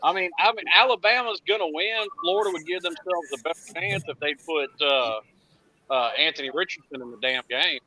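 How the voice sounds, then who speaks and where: A man's voice: fairly high pitch, a little fast, pushed and bright, rough recording. A man in his thirties talking college football casually as a panelist, blunt and emphatic, repeating points to drive them home. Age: 30s. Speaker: panelist on college football fan talk show. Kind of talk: casual sports debate.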